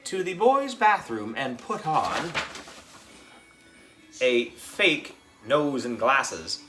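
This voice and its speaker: Male narrator, animated pitch, bright forceful tone, expressive children's storytelling, faint music. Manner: expressive, dramatic. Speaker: audiobook reader, narrating as a schoolboy.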